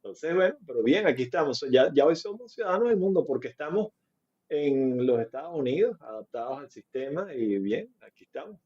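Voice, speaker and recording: A Venezuelan man speaks from an office in a measured, plain-spoken way. His mid-pitched voice is mellow, and the sound comes through a dull, lo-fi line. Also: lively intonation, slightly rough. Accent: Venezuelan Spanish. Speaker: mortgage loan specialist guest.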